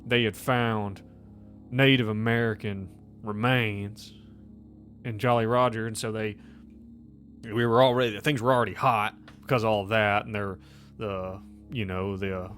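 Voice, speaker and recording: Guy doing a gruff bitter miner for a tabletop game, lowish voice, cusses a lot, bit of music behind. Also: fairly bright tone, lightly acted. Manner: grumbling, heavily profane. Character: gruff, bitter injured miner, grumbling working man. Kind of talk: in-character tabletop roleplay.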